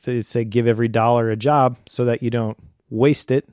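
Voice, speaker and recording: Male podcast co-host, earnest explainer, medium pitch, conversational advice, very little room sound. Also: fairly even intonation, normal speed, a little mellow, clear, resonant tone, dry recording, studio quality.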